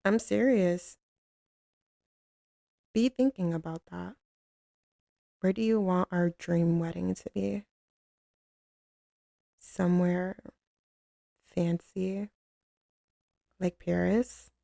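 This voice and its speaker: A woman acting as a commanding female crime boss softening for a sweetheart in an ASMR roleplay. The voice is mellow and full of gravelly fry, delivered slowly and intimately. It sits close on the mic in a very dry space, with swaggering, slangy devotion. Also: on the low side, steady tone, no echo. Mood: doting, earnest. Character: tough, commanding female mafia boss, tender and doting with lover. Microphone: close studio microphone, dry.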